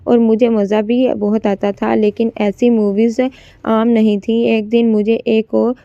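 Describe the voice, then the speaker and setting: A woman's voice: a little high, little pitch movement, measured pace, dark tone, no echo, muffled recording. A woman narrating a scripted first-person story, occasionally stumbling as she reads.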